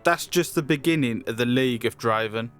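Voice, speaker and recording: A man's voice: mid-range voice, fairly quick, over loud music. A man presenting a game character showcase in a scripted promotional narration style.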